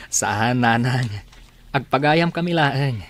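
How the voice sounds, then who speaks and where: A man acting a soft-hearted, lovestruck young son in a radio drama, earnest and deferential. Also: medium pitch, expressive, slow. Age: young adult.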